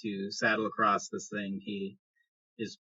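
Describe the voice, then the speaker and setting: A man's voice: lowish voice, monotone, a little slow, sharp tone, breathy edge. A man running a tabletop game as game master, improvising narration in a conversational, explanatory way. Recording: dull lo-fi podcast audio.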